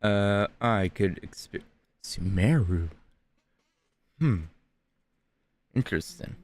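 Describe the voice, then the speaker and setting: A man casually commenting while playing a video game, with relaxed, mumbling asides. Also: lowish voice, slow pace, a little hiss or hum. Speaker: gaming streamer. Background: game music.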